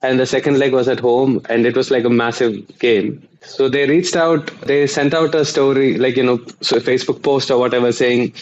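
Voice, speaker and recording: A man, a guest journalist explaining at length with frequent pauses. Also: mid-range voice, fairly even intonation, a little mellow, muffled recording. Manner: explanatory, with many uh fillers and restarts. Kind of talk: conversational interview.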